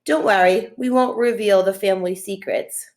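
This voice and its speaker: A woman's voice: mid-range voice, normal speed, darkish tone, lightly acted. A woman voicing a genial, teasing father of young girls, light and reassuring, in a storybook reading.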